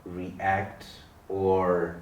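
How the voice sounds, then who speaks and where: A man hosting a podcast interview, asking relaxed, chatty questions with fillers. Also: sits low, very slow, dull audio. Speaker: podcast host. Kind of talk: casual interview. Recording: echoey room with faint noise.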